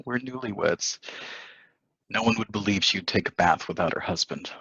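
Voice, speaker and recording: A man's voice: fairly low pitch, normal speed, gravelly, sharp tone, slightly rough, dry recording, exaggerated voice. A man playing a coldly calculating newlywed husband in a table reading of a stage play, in dull audio.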